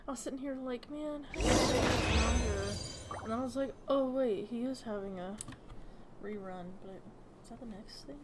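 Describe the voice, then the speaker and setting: A woman's voice: a little high, moderate pace, heavy background noise. A woman giving casual, rambling, informal reactions as she streams a video game. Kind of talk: casual reactive gameplay commentary. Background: loud game music.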